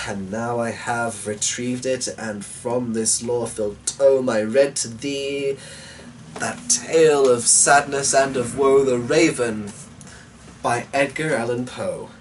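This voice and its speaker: A man delivers theatrical gothic narration in a grandiose manner. His pace is very slow and measured, with a mid-range pitch. He sounds somewhat distant from the microphone. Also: steady tone, a little hiss or hum. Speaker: poetry reader and host. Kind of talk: theatrical gothic poetry narration, archaic flowery phrasing.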